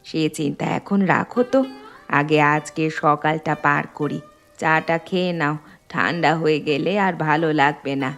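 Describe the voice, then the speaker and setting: A woman voicing a practical, soothing middle-aged village mother in a cartoon story, gently bossy. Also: sits low, fairly even intonation, moderate pace, soft background music. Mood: reassuring, brisk.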